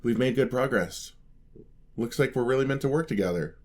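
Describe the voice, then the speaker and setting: A man's voice: medium pitch, brisk, close to an everyday voice. A man playing a sweet, eager young paladin apprentice in a fantasy ASMR roleplay, speaking politely and earnestly to the listener. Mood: admiring, helpful. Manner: polite, earnest, gently encouraging.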